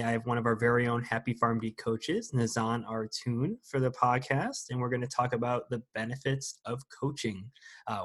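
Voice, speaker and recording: A man's voice: mid-range voice, a bit bright. A young man hosting a podcast, talking in an upbeat, chatty way in a casual interview. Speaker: podcast host.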